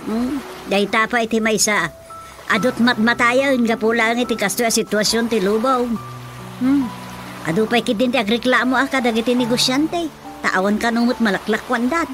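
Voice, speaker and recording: Woman as fretful Ilocano village gossip, highish voice. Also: normal speed, over music, natural voice, rough recording. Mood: worried. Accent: Filipino, Ilocano. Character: worried, gossipy village woman, easily alarmed. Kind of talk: radio drama comedy acting.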